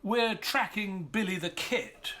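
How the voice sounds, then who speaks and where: An actor playing a good-natured, bumbling doctor sidekick who talks in a hesitant, stammering, jolly way. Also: male voice, sits high, slow, sharp tone, slight background noise, exaggerated voice. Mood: jovial. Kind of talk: audio drama acting. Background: faint piano music.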